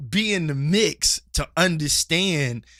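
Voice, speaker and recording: A man from Philadelphia rants passionately at a slow, unhurried pace, circling back and restarting his thoughts. His voice sits a little on the high side for a man. The sound is dry and close, with no room echo. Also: lively intonation, bright, forceful tone. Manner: passionate, rambling, many restarts. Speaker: podcast co-host. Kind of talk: casual church-culture chat and rant. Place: studio table with co-host.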